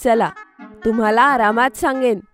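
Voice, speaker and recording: A woman voicing a gentle, encouraging mother in a children's cartoon story, soothing and explanatory. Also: fairly high pitch, steady tone, normal speed, faint music behind, close to an everyday voice.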